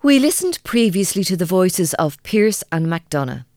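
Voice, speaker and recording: An actress playing a radio presenter introducing a panel, speaking in a measured announcing manner. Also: female voice, lowish voice, sing-song, darkish tone, no echo, clean studio sound.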